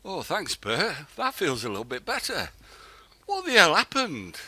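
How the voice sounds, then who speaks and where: A man's voice: high-pitched, huge pitch swings, moderate pace, pushed and bright, no room sound, exaggerated voice. A man playing a blunt, impatient, injured wartime patrolman who grumbles in colloquial speech in an amateur radio play. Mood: pained, impatient. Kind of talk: amateur radio drama acting.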